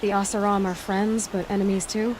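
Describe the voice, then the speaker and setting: A woman's voice: medium pitch, flat delivery, a little fast, background noise, lightly acted. A woman playing a young huntress heroine in a video game, speaking in a direct, inquisitive, plainspoken way. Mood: direct, curious. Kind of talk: scripted video game dialogue.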